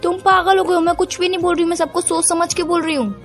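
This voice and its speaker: A woman's voice: very high-pitched, flat delivery, fast, soft background music. A young woman playing an earnest, firm girlfriend who is pained and torn, in an audio call drama. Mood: insistent, exasperated. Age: young adult. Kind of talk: acted phone-call drama in conversational Hindi with some English.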